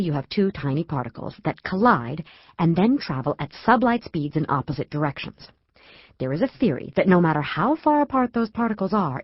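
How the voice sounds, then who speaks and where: An actress playing an anxious, educated astrophysicist worried about her husband, earnest and emotional in an audio drama, with halting speech. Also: lowish voice, slightly rough, dry recording, dull audio. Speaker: actor in a radio play.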